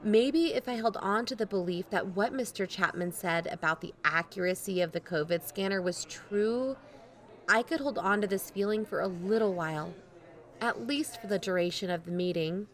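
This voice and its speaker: A woman's voice: medium pitch, moderate pace, natural voice. An actress playing a wary, candid young woman who narrates and talks in an audio drama in a conversational way. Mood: wary, reflective. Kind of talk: first-person audio drama narration and dialogue.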